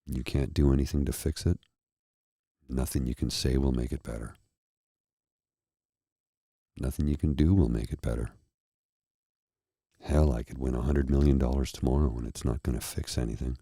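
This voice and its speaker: A tired man with a husky, slightly froggy throat, hosting his own show and talking earnestly and slowly to his viewers. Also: a bass voice, steady tone, mellow, dry recording, studio quality. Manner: slow, earnest, heartfelt. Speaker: host. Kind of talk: candid personal talk to viewers.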